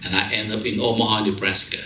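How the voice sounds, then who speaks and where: A man's voice: on the low side, flat delivery, fast talker, bright, forceful tone, reverberant, a little hiss or hum, low-quality recording. A man in his fifties from Vietnam with a Vietnamese accent, telling his life story at a men's fellowship in broken English, with jokes. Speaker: guest speaker. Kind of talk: personal testimony speech. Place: church fellowship hall.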